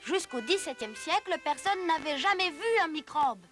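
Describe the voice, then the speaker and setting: A woman's voice: sits high, expressive, normal speed, over music. A woman giving scripted, didactic storytelling narration for an educational history cartoon.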